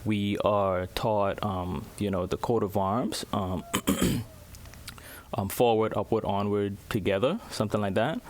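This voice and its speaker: A man from the Bahamas, giving earnest casual commentary as a vlogger and pausing to search for his words. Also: fairly low pitch. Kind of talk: casual spoken commentary to camera.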